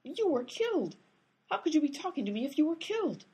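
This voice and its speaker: A woman's voice: sits high, sing-song, fairly quick, warm tone. A woman playing an exasperated, blunt, tough-talking detective in a comedic radio play. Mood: tough, demanding.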